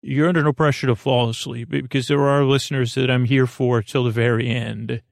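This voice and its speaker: A man hosting a sleep podcast in a gentle, reassuring, hesitant way, rambling through digressions and half-restarted sentences. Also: medium pitch, steady tone, a little fast, vocal fry, dry recording, studio quality. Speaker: host of a bedtime sleep podcast. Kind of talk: rambling, meandering monologue to lull listeners to sleep.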